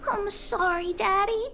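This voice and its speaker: A woman's voice: very high voice, vocal fry, sharp tone, a little hiss or hum, heavily acted. A woman voicing an earnest, sensitive little girl with a childlike way of talking in a video game. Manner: childlike, speaks of herself in third person. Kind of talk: English video game dub acting.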